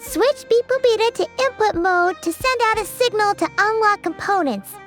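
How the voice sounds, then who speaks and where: Squeaky, very high childlike sprite. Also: female voice, expressive, normal speed, fairly bright tone, soft background music. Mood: focused, reciting. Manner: speaks of herself in third person, exclamatory. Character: small childlike female companion sprite, chirpy and easily exasperated. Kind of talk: animated video game dub acting.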